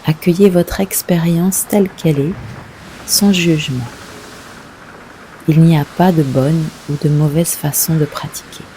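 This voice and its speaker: A woman leading a guided yoga nidra meditation, giving gentle, unhurried instructions with long pauses. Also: low voice, fairly even intonation, a little hiss or hum, low-quality recording.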